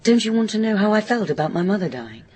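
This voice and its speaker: A woman's voice: medium pitch, very animated, fast talker, darkish tone, natural voice. A woman playing a troubled, guilt-ridden woman in her fifties in a radio drama, hesitant and brittle. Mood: brittle, reflective. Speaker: actor in a radio play. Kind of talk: naturalistic radio drama acting, confessional.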